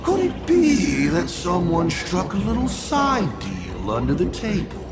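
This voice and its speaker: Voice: medium pitch, huge pitch swings, measured pace, over loud music. An actor playing a sly, theatrical schemer in a video game, mocking and drawling with insinuation. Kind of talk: dramatic video game voice acting.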